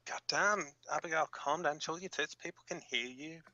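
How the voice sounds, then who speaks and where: A man's voice: on the high side, normal speed, dull audio, very noisy, natural voice. A man acting in an audio drama as a brash, crude co-host of a resistance radio broadcast, with a blunt, casual delivery. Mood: exasperated.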